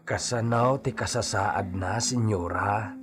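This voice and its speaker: An actor playing a humble, worried servant with a husky voice, deferential and pleading, in an audio drama. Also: male voice, lowish voice, slow. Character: humble, worried male servant speaking to mistress. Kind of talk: Filipino audio drama acting.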